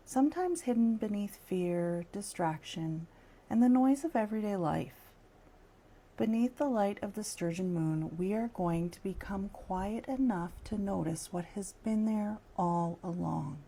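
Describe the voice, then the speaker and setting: A woman leading a guided meditation in a slow, soft, soothing way with long pauses. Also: lowish voice, slightly rough.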